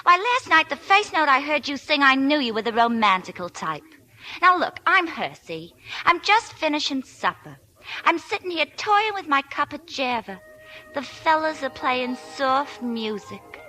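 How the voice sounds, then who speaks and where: Brassy high-voiced Brooklyn waitress. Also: female voice, very animated, unhurried, bright, forceful tone, soft background music, heavily acted, low-quality recording. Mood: coaxing. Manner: slangy, wisecracking. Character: brassy, big-hearted young Brooklyn waitress with a crush. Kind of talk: 1940s radio acting. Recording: 1940s radio broadcast.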